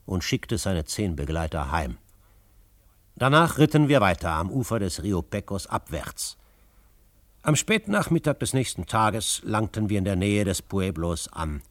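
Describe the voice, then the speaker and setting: Confident frontier adventurer, measured and authoritative. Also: male voice, fairly low pitch, no room sound. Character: confident male frontier adventurer, calm, commanding, narrating his adventures. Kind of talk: German radio drama narration and dialogue.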